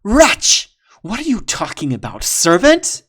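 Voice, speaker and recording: A man voicing a fierce woman warrior who threatens in a forceful, snarling way. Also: fairly high pitch, lively intonation, slow pace, pitched above the performer's own voice.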